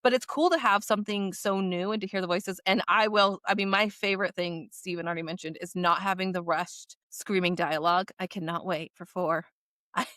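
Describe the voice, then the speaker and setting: A woman co-hosting a podcast, chatting casually in an animated, chatty way. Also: fairly low pitch, fairly quick, no echo, clean studio sound. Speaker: podcast co-host.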